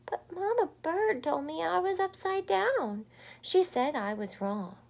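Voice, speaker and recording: A woman voicing an innocent young female bat in a storybook, earnest and squeaky, with wide-eyed exclamations. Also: animated, a little slow, gravelly, a little mellow, heavily acted. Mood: confused.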